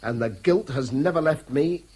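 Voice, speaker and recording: An actor playing a guilt-ridden but principled British man in a radio drama, speaking in formal, measured, articulate sentences. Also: a little high, darkish tone, slightly rough, rough recording. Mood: remorseful, indignant. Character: guilt-ridden, principled, indignant educated man under threat. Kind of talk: BBC radio drama acting.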